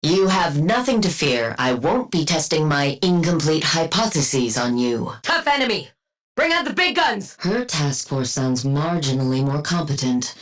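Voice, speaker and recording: An actress playing a brilliant, haughty scientist supervillain in a video game, speaking in precise terms with a dry, deadpan, sardonic edge. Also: female voice, low-pitched, measured pace, reverberant. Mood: focused.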